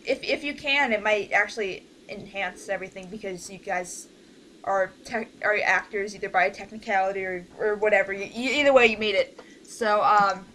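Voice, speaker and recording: A woman's voice: mid-range voice, normal speed, bright, forceful tone. A woman leading an informal online group meeting, talking in a hesitant, rambling way that often trails off. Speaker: director leading a voice cast meeting. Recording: noisy amateur voice-call recording.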